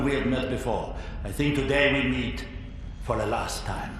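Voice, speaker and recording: A man's voice: medium pitch, noisy background, lightly acted. A man playing a cold villain scientist. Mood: menacing. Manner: menacing, measured, grandiose. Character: cold, scheming older male villain scientist. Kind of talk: video game cutscene acting. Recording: echoey room under loud music.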